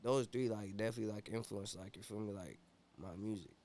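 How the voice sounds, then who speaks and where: A man's voice: on the low side, a little fast, a little mellow. A young man from Ethiopia, a rapper guest in a casual interview, talking laid-back and slangy with constant "you feel me" fillers.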